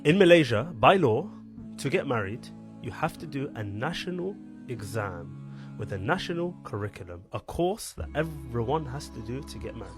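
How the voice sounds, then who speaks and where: A man's voice: medium pitch, big pitch swings, moderate pace, music in the background. A man talking emphatically in a podcast, often calling his listener "bro". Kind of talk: animated podcast talk.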